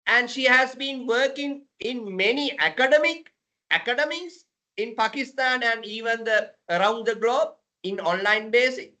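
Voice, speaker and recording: A woman with a Sri Lankan accent hosting a podcast, speaking in a halting way and often repeating her phrases. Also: fairly high pitch, sharp tone. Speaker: podcast host. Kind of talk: unscripted podcast introduction and interviewing.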